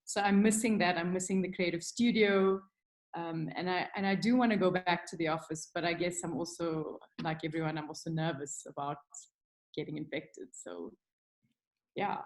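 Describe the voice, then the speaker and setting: A woman's voice: on the low side, normal speed, poor audio. A middle-aged South African woman, a creative director, chatting candidly as a webinar guest, with many ums and restarts. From South Africa (Durban). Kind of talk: casual webinar chat. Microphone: video call.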